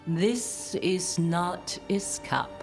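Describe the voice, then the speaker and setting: An actress playing a proud, aloof, middle-aged scholar and mother in a video game, formal and measured. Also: on the low side, animated, soft background music, heavily acted. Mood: cold, disapproving. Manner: formal, measured, clipped disapproval. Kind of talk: video game cutscene acting.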